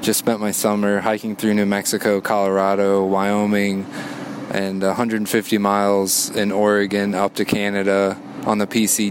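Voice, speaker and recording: A man leaves a relaxed, grateful voice message from a beach, recorded outdoors on a phone. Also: lowish voice, background noise. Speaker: listener sending a recorded greeting. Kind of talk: casual voice message.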